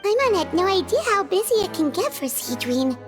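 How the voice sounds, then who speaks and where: A very high, chirpy little fairy companion, excitable and blunt, her voice bouncing all over. Cartoonish game dialogue over music. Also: brisk. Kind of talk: game voice acting.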